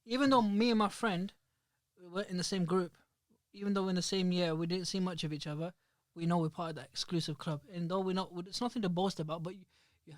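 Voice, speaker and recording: A man's voice: high-pitched, steady tone, no room sound. A man hosting a casual podcast in a small studio, rambling and restarting his sentences. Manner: rapid, chatty, rambling with many restarts. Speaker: podcast host. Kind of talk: casual chat. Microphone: studio microphone.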